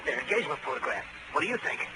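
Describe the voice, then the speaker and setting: Fretful befuddled client, high pitch, stammering, groaning, vintage radio acting, noisy old recording. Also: male voice, sing-song, racing. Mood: anxious. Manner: stammering, groaning, nervous delivery. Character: hungover, jittery male client, fretful and befuddled. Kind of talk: 1940s radio drama acting.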